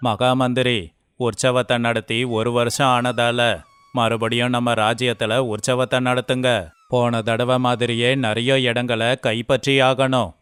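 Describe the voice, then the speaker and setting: A man's voice: mid-range voice, flat delivery, unhurried, fairly bright tone, clear, resonant tone, no room sound, lightly acted. A man playing a proud, scheming, mocking great king in a folktale cartoon, speaking in a pompous, boastful manner. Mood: boastful, commanding. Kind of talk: moral story character dialogue.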